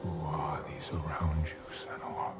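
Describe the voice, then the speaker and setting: An actor playing an ominous, knowing otherworldly being in a video game, speaking in a slow, deliberate, gravelly half-whisper over a noisy ambient bed. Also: male voice, rumbling low, flat delivery, over loud music. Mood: solemn, probing. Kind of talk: dramatic video game acting.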